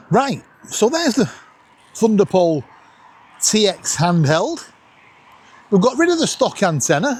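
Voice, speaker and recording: Animated Northern English man vlogging, husky voice. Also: high voice. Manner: jokey, animated, self-deprecating. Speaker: vlog host. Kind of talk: casual vlog chat. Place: outdoors at night on a seafront promenade. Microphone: camera worn around the neck.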